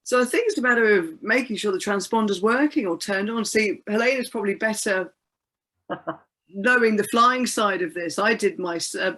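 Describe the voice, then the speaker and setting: A woman with a medium-pitched voice talks casually as a guest expert. Her way of speaking is friendly and informal, like easy chat among colleagues. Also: a bit bright. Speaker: air traffic controller, guest expert.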